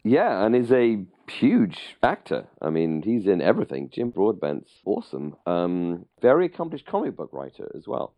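A man's voice: lowish voice, huge pitch swings, normal speed, hardly any pauses, no room sound, highs cut off. A middle-aged man with an English accent co-hosting a podcast, chatting casually and informally about a radio drama, often searching for words. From England. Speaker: podcast co-host.

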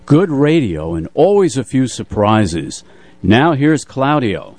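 A man's voice: mid-range voice, sing-song, slow, a little mellow, no echo. A man reading a scripted introduction as a radio show announcer. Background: faint music.

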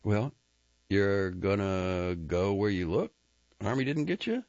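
A man's voice: on the low side, expressive, takes it slowly, darkish tone, no room sound, voice pushed up. A man reading aloud and voicing a terse, awkward young ranch hand who speaks in a clipped, plain country way. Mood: agitated. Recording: dry, slightly muffled recording.

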